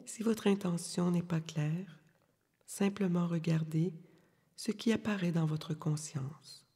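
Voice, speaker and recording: A woman leading a guided yoga nidra meditation, giving calm, soothing, unhurried instructions with long pauses in a soft, breathy voice. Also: fairly low pitch. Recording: long reverberant hall-like echo.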